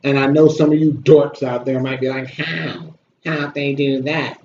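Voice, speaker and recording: A man's voice: medium pitch, unhurried, a little mellow. Blunt man reviewing in an echoey room. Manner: blunt, complaining, sweary. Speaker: video host and movie reviewer. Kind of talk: casual opinionated movie review chat. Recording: roomy echo, dull audio, slight noise.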